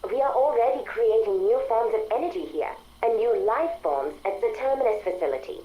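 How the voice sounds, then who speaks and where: A woman's voice: a little high, animated, fairly quick, phone-quality sound, natural voice. An actress playing an articulate female artificial intelligence that longs for a body, speaking precisely and formally in video game audio logs. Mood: probing, concerned. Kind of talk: dramatic video game audio log acting.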